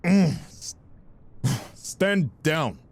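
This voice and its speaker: An actor playing a menacing, taunting crime boss villain with a gravelly, husky voice, in a dramatic audio role-play. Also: male voice, a little high, animated, drawn-out words, heavily acted. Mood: irritated command. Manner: taunting, theatrical. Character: menacing, sneering crime boss villain, cowardly when cornered.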